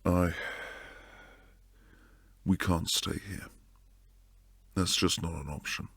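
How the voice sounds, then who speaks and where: A man playing a protective, devoted bodyguard and secret lover in an intimate boyfriend roleplay, low and husky, speaking earnestly close to the mic. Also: fairly even intonation, takes it slowly, fairly bright tone, no room sound, exaggerated voice. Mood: hesitant, sombre. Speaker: audio roleplay performer. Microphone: close, dry studio mic.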